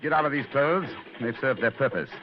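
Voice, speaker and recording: A man plays an analytical British gentleman detective with a mid-pitched, very lively voice. He lectures with assured precision in old radio-drama style. The recording is muffled with faint noise. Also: a little fast, lightly acted. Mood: explanatory, confident. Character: confident, analytical English gentleman detective, slightly superior. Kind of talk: old-time radio mystery acting.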